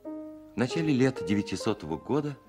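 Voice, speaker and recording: A man's voice: mid-range voice, sing-song, fairly quick, music in the background, close to an everyday voice. An actor narrating a 1970s radio play as a grown man reminiscing about his childhood. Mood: reflective.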